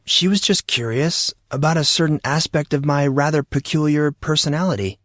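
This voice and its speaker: A man playing a guarded, awkward and sarcastic teenage boy in an audio drama, answering evasively and a little haltingly. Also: fairly high pitch, fairly bright tone, dry recording, studio quality, lightly acted. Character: guarded, sarcastic fourteen-year-old boy, awkward and exasperated. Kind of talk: audio drama character dialogue.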